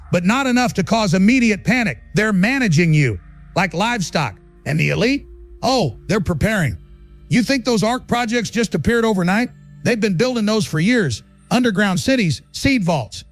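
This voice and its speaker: A man's voice: high-pitched, dry recording. A man playing a fiery, defiant conspiracy radio host, ranting in emphatic, indignant, punchy phrases for an audio drama.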